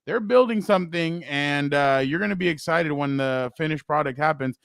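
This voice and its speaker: A fairly high-voiced man hosts a casual sports show, loud and rambling with frequent laughs. His voice is close and dry, with no room sound around it. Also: normal speed, creaky, smooth, no room sound. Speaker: co-host.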